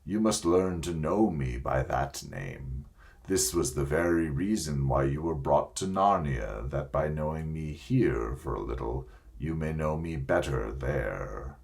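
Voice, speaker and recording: A majestic male lion from a storybook, deep and hushed, his words slow and tender with a solemn weight, as a man reading aloud puts him on. The voice sits well below the reader's own and still rises and falls widely. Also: lively intonation, gravelly, full character voice. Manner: slow, grave and soft-spoken.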